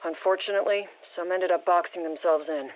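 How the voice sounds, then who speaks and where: A woman's voice: fairly low pitch, steady tone, brisk. A woman playing a sober female field briefer in a video game, informative and restrained, through a radio filter. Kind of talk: scripted video game voice lines. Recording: muffled, band-limited radio transmission.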